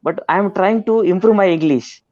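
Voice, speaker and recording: A man from Maharashtra, India, with an Indian accent and Marathi as his first language, a schoolteacher speaking haltingly with many fillers on a group call. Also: high voice, darkish tone, no room sound, highs cut off. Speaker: schoolteacher, participant. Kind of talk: casual group video call.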